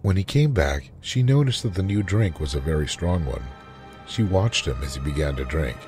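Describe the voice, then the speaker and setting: A man narrating a scripted story in a measured, dramatic manner. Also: low-pitched, animated, a little mellow, faint music behind.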